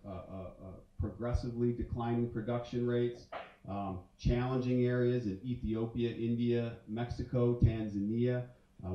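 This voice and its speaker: Man lecturing in a roomy hall. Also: medium pitch. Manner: conversational, many fillers and restarts. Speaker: conference speaker. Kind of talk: conference talk with slides. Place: large dark auditorium stage. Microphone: handheld mic. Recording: roomy hall sound from a distance, muffled.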